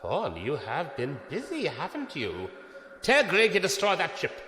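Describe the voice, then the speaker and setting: An actor playing an imperious, exasperated imperial fleet commodore in a sci-fi audio drama, giving sharp commands. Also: male voice, fairly high pitch, huge pitch swings, measured pace, heavily acted. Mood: commanding. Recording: long hall reverb, noisy ambience.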